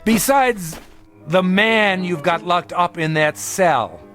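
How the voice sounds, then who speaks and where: A man's voice: high voice, takes it slowly, a bit bright, soft background music. An actor playing a suspicious, sarcastic male traveller in a television drama, arguing with theatrical emphasis. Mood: indignant. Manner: argumentative, sardonic, theatrical emphasis. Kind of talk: dramatic television-style acting.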